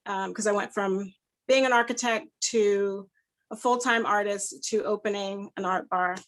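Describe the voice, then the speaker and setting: A woman's voice: mid-range voice, fairly even intonation, moderate pace, a bit bright. A woman in her forties from Texas, giving an informal, thoughtful self-introduction. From Houston, Texas. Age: 40s. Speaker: meeting participant, art bar owner.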